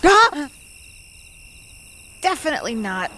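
An actress playing a wry, stubborn older small-town woman with a dry, unhurried delivery in an audio drama. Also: high-pitched, sing-song, sharp tone, slight background noise, exaggerated voice. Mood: pained, confused. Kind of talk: naturalistic audio drama acting.

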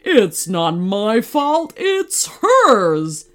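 A woman voicing a proud, blustering male tiger in a children's story, with a gruff, defiant growl. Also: mid-range voice, animated, slow, faint music behind.

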